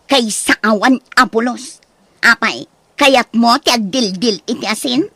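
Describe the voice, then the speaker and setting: A high-pitched, haughty young wife, acted by a woman for radio drama. She scolds and orders her in-laws around with scorn, in a Filipino accent. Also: measured pace, pushed and bright, no room sound, exaggerated voice. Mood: scornful, irritated. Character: bossy, scornful gambling daughter-in-law ruling her household.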